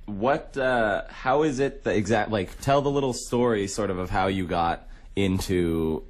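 A young man hosts a campus-style radio interview in a lowish voice. His talk is casual, informal and slangy. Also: unhurried. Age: 20s. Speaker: radio show host.

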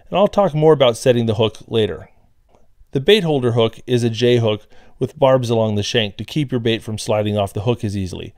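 A man reads a tutorial voice-over in a plain, steady manner, his pitch sitting in an ordinary middle range. The sound is close and dry, with no room around it. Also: dry recording. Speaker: fishing instructor.

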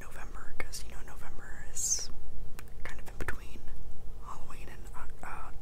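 A man's voice: moderate pace, low-quality recording. A man in his twenties whispering a casual, rambling ASMR chat close to the mic. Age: 20s. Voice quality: whispered, unvoiced. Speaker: ASMR video host. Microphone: close mic, face near the camera.

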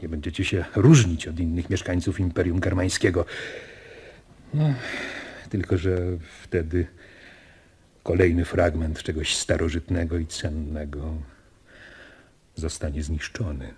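An actor playing a grave but gentle father in a radio drama, with a husky voice. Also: deep voice, steady tone, unhurried, long pauses, exaggerated voice. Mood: earnest, gentle. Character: grave, gentle father guarding a family secret. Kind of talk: Polish radio drama acting.

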